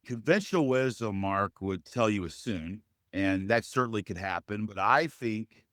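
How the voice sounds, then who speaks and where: Deep, loud-voiced man. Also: animated, no room sound, studio quality. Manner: earnest, measured, addresses host by name often. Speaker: guest. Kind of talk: interview conversation.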